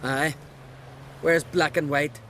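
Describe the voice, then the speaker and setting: Brash scornful island clansman for a game, folksy dialect, voice a bit high, faint outdoor noise. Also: steady tone, slow, bright, forceful tone. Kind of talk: video game dialogue acting.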